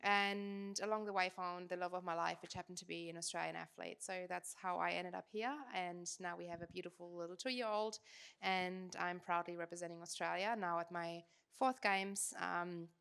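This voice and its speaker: A woman's voice: lowish voice, little pitch movement, moderate pace, creaky, fairly bright tone, clean tone. A woman in her thirties with a German accent, introducing herself on a panel with frequent ums. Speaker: panelist, athlete.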